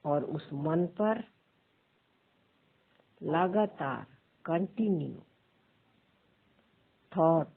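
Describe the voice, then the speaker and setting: Very slow, husky woman guiding meditation. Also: low-pitched. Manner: measured, pausing between short phrases. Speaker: meditation teacher. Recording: thin phone-like sound.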